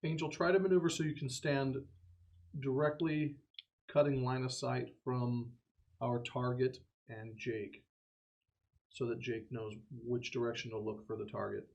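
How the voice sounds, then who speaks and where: A man's voice: mid-range voice, steady tone, a little slow, creaky, darkish tone. A man playing a tabletop game, talking casually and directively as he plans tactics aloud.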